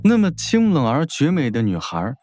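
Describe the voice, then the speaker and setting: Lively male audiobook narrator. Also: mid-range voice, moderate pace, faint music behind. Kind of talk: dramatic scripted narration of a novel.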